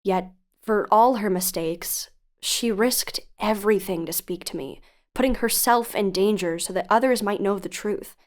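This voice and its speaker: A woman acting a composed investigative podcast host in an audio drama. She speaks at an average pitch, measured and earnest. Her narration is frank and carefully phrased. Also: natural voice. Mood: solemn.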